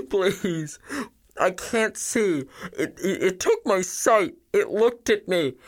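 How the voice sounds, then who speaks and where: A man's voice: high-pitched, lively intonation, slow pace, pitched above the performer's own voice, heavily acted. A man voicing a blinded, terrified soldier in a war story, stammering and pleading. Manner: stammering, sobbing delivery.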